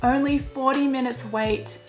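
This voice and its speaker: A young woman, chatting casually through a vlog in a croaky voice that is wearing thin, with plenty of filler words. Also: high-pitched, steady tone, unhurried, over music. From Australia. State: croaky, losing her voice. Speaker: vlogger. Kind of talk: casual walkthrough.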